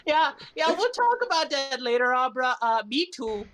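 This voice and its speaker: A woman's voice: high-pitched, big pitch swings, normal speed, fairly bright tone. A woman playing a tabletop game, talking casually and very hesitantly, with many stops and starts.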